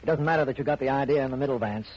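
Guy acting no-nonsense official in old radio mystery, talks fast, bit formal, husky, muffled audio. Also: mid-range voice, a little mellow, lightly acted. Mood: matter-of-fact. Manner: brisk, formal, matter-of-fact. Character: sober, practical official, the detective's loyal associate. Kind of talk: 1950s radio detective drama acting. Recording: muffled vintage radio recording.

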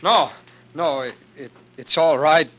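An actor playing a tender, anguished man fleeing war, in halting, solemn 1940s radio drama style. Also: on the high side, a bit bright, muffled recording. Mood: desperate, pleading. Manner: halting, repeating words, solemn. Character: fleeing lover narrating war's end, anguished, tender, devoted man. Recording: vintage radio broadcast with music.

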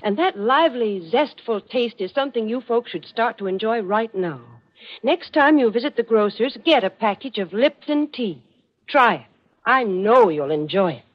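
A woman giving scripted sponsor pitches on 1940s radio in a cheery, enthusiastic manner, on a dull old recording. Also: animated, normal speed. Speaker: commercial spokeswoman.

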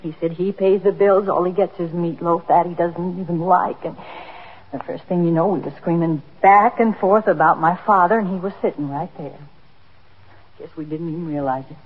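An actress playing a worried, remorseful middle-aged housewife and daughter in a 1950s radio drama, speaking earnestly and hesitantly. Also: female voice, lowish voice, steady tone, moderate pace, breathy edge. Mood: explaining, uneasy. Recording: dull, noisy 1950s radio recording.

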